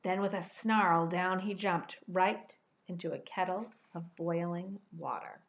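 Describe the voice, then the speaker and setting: A woman's voice: on the low side, unhurried, muffled recording. A woman in her forties reading a children's storybook aloud expressively, stretching words for dramatic emphasis. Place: indoor room at home.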